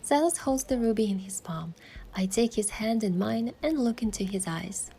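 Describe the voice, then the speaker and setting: A woman playing a sincere young heroine, narrating her romance story in a soft, measured and expressive way. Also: medium pitch, breathy edge. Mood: reflective narration. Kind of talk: scripted first-person story narration and dialogue. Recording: music behind the voice.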